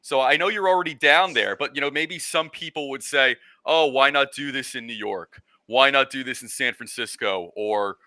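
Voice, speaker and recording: A man hosting a casual interview, feeling his way through long, halting, rambling questions. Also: mid-range voice, pushed and bright, no room sound. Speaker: interviewer, host.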